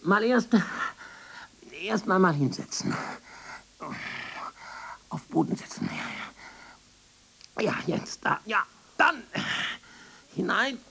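Frantic husky mutterer in halting bursts. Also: female voice, medium pitch, animated, sharp tone, full character voice. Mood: effortful. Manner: halting, repetitive, clipped telegraphic phrases, often whispering. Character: frantic, obsessive archive dweller muttering broken commands to a comrade. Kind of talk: avant-garde radio drama acting.

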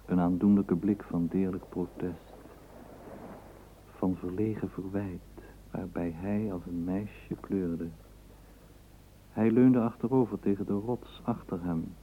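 A man narrating a literary radio play in a measured, dramatic storytelling manner. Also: deep voice, steady tone. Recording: tinny, narrowband old radio tape, faint hiss.

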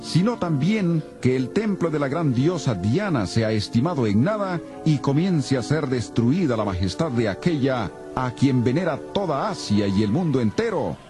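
A man's voice: mid-range voice, very animated, normal speed, over music, natural voice. An actor playing an agitated silversmith who persuasively stirs up his fellow craftsmen in a dramatized audio Bible. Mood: urgent, indignant. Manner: rhetorical, persuasive.

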